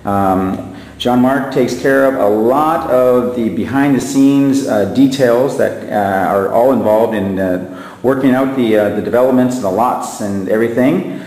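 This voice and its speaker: A male interviewer asks his questions in a polite, friendly way and leans on fillers as he goes. His voice has an even, middle pitch. He is recorded in an echoey room with a lingering reverb. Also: a little slow. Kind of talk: interview host.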